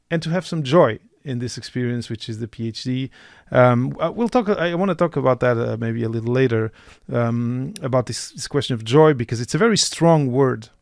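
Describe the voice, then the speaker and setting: A male podcast host with a gravelly edge to his voice, recorded close and dry. He talks in a halting but encouraging way, with frequent restarts as he works out his questions. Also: medium pitch, a little mellow, dry recording. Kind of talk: podcast interview.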